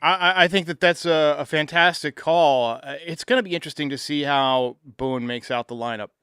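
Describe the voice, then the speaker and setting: A man's voice: fairly high pitch, normal speed, fairly bright tone, clear, resonant tone, no echo, clean studio sound. An American man hosting a sports fan show, giving commentary and responding to voicemails.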